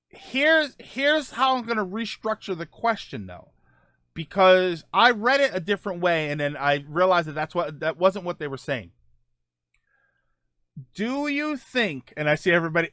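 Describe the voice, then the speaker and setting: A man's voice: sits high, animated, normal speed, pauses often, a bit bright, clean tone, no echo, studio quality. A man hosting a casual live stream, thinking aloud while reading viewer comments, often restarting his sentences. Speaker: streamer host reading chat.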